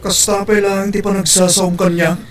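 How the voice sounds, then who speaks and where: A man's voice: high voice, little pitch movement, moderate pace, slight background noise, natural voice. A man with an Ilocano accent playing a jealous, distrustful son and boyfriend in an audio drama, questioning and accusing.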